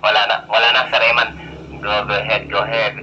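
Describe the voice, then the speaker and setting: A man's voice: fairly low pitch, level tone, fairly quick, sharp tone. A man chatting informally as an amateur radio operator, using radio call phrases over a two-way radio link. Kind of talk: casual two-way radio chat. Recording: dull lo-fi two-way radio with noise.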